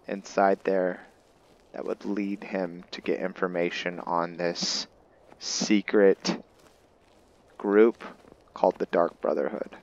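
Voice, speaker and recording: A man giving unscripted, reflective roleplay gameplay commentary, thinking aloud in a halting, musing way. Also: low-pitched, highs cut off, slight background noise. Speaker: gamer narrating a roleplay playthrough. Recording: game rain and thunder behind voice. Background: game ambience of rain and thunder.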